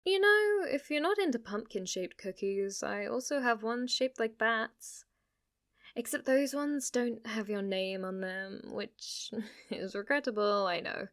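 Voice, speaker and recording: A woman's voice: a little high, a little slow, fairly bright tone. A woman playing a playful, possessive, lovestruck witch in an ASMR roleplay, speaking to the listener in a teasing, cutesy way. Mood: coy, playful. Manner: teasing, cutesy, swinging between coy murmurs and eager outbursts.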